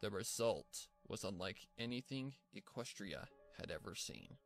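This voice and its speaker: A man's voice: lowish voice, lively intonation, measured pace, creaky, faint music behind. A man reading scripted fan-fiction narration as the storyteller.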